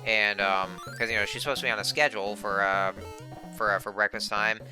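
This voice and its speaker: Hoarse young man rambling over game music. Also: fairly low pitch, fairly even intonation, brisk. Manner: rambling, many fillers and restarts. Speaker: gameplay commentator. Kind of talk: casual let's play commentary. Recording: game music playing behind the voice.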